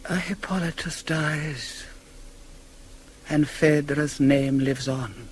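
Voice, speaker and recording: An actor playing a cold, stern deity in a classical radio tragedy, speaking in a solemn, measured, incantatory way. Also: male voice, a little high, fairly even intonation. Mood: vengeful, incantatory. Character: cold, stern deity, aloof from human grief. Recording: hissy 1980s cassette of radio play.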